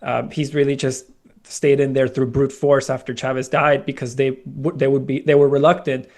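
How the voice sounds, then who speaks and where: A man's voice: mid-range voice, flat delivery, normal speed, darkish tone. A middle-aged man from Venezuela answers an interviewer's questions. He speaks earnestly in a mid-range voice and keeps breaking off with fillers and restarts as he works through his thoughts. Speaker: guest, crypto company co-founder. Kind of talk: casual interview talk.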